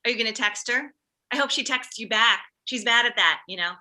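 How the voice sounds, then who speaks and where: Actress as a bright, sharp-voiced anxious mother. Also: on the high side, brisk. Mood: combative, indignant. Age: middle-aged. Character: anxious, overprotective, combative mother of a teenage boy. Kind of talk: comedy script table read.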